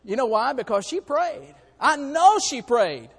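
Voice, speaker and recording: A hoarse man on church staff preaching a sermon to his congregation, in a folksy way that calls out to them. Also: very high-pitched, very animated, measured pace, in a large hall. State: hoarse, has lost part of his voice. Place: church sanctuary.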